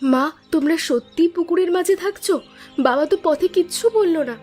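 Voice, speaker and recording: A worried young married daughter in a cartoon story, voiced by a woman, earnest and pleading. Her voice is high and bright-toned. Light music runs underneath. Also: moderate pace. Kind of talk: cartoon voice acting.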